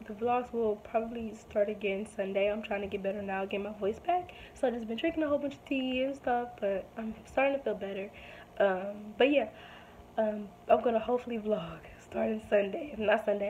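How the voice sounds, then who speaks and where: A woman's voice: mid-range voice, highs cut off, a little hiss or hum. Young woman, losing her voice, rambling vlog chat; phone at arm's length in a small room at home. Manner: rambling, soft-spoken, many ums. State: losing her voice, sore throat. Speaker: vlogger. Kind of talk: casual chat to camera. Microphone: phone or webcam at arm's length.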